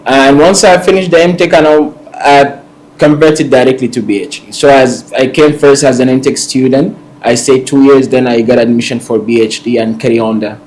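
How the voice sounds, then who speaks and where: A man's voice: mid-range voice, steady tone, moderate pace, darkish tone, low-quality recording. A man, a PhD student introducing himself hesitantly with many fillers. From Sudan. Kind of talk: self-introduction.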